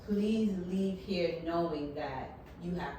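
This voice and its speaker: A woman's voice: on the low side, slow, noisy background. A woman moderating a panel, speaking in an encouraging, preacher-like cadence. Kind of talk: panel discussion. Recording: echoey room, mic from a distance.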